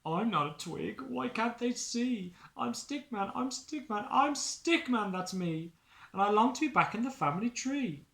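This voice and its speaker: A small storybook stick man acted out by a man, pitched high and plaintive. He protests indignantly in insistent, drawn-out chants, homesick, in a roomy, echoing space. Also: expressive, vocal fry, fairly bright tone, exaggerated voice. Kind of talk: rhyming picture-book story voice.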